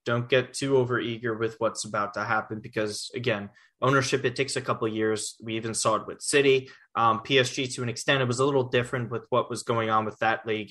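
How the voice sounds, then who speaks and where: Bright-voiced young male podcast host. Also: medium pitch. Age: 20s. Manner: casual, rambling with restarts. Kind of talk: casual sports chat.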